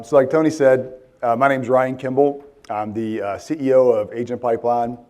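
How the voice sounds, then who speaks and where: Hoarse middle-aged man giving a conference talk. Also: lowish voice, darkish tone. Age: 40s. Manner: casual, many ums and restarts. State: hoarse, voice worn out. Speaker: conference speaker. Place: conference hall.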